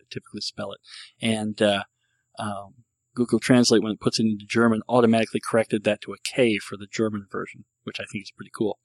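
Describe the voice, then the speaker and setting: A man's voice: fairly low pitch, gravelly, no room sound. A man hosting a casual solo show, answering listener questions in a rambling, self-deprecating way with frequent restarts.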